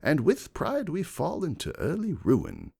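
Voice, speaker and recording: Male reader as progressive noble stallion, assured, emphatic and cutting, storybook drama, hugely varied intonation, some gravel. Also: a little high, huge pitch swings, unhurried, darkish tone, pitched above the performer's own voice, full character voice. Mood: cool, firm. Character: self-assured wealthy gentleman stallion, progressive, defiant. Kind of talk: dramatic first-person fan fiction reading.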